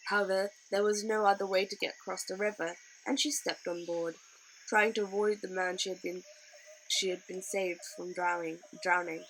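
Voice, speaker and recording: A woman reads a children's story aloud in an expressive audiobook style. Her voice is bright and forceful, at an average pitch. Faint ambient noise sits behind the reading. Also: normal speed, poor audio. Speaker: storybook reader.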